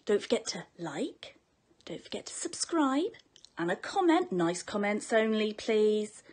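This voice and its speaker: A woman's voice: mid-range voice, big pitch swings, a little slow, darkish tone. A middle-aged woman recording a cheeky, chatty video greeting on her phone indoors. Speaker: patron sending a video greeting. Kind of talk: selfie video message. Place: indoor room by a window. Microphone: phone held close at arm's length.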